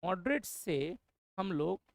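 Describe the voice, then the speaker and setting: A man's voice: sits high, lively intonation, slow pace, creaky, no room sound. Man teaching, dry close sound. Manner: repeats points, addresses students as brother. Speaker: English teacher. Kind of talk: course introduction lecture.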